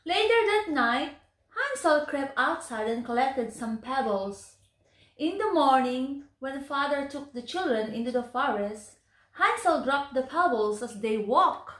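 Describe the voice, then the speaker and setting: A woman narrating a children's story in an expressive, deliberate storytelling manner. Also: high-pitched. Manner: slow, deliberate, animated delivery for children. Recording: echoey room.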